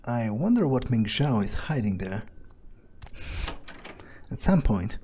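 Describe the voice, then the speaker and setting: A man speaking in character during a video game playthrough, in a halting, musing way. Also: medium pitch, big pitch swings, mellow, breathy edge. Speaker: player voicing his own character in a let's play.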